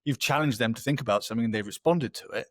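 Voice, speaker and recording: A man's voice: medium pitch, rapid-fire, no echo, studio quality. A man giving a conversational, explanatory webinar talk as a marketing expert, often checking in with a short tag question.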